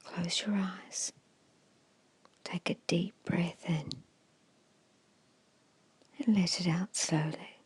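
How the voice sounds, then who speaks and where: A woman guiding an ASMR meditation in a hushed, soothing, breathy whisper with short, measured phrases. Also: lowish voice, steady tone, slight background noise. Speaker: hypnosis and meditation guide.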